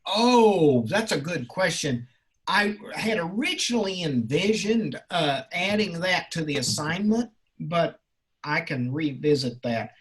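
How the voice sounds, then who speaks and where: A male college instructor talking informally with a class in a halting, rambling, encouraging way. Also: a little high, expressive, fairly bright tone, slightly rough. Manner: encouraging, reassuring. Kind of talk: informal class question-and-answer.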